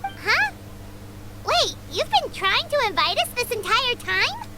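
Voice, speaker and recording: An actress voicing a tiny, cheeky, chatty fairy girl companion in a video game, with a squeaky childlike voice. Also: slow pace, music in the background, exaggerated voice. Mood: surprised, nosy. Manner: chatty, excitable, refers to herself by name.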